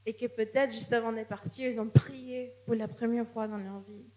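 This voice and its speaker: Woman preaching at church, kinda high voice, earnest, restarts her words a lot. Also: steady tone, normal speed, a little mellow. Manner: earnest, testimonial, with frequent restarts. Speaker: guest preacher. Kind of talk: sermon.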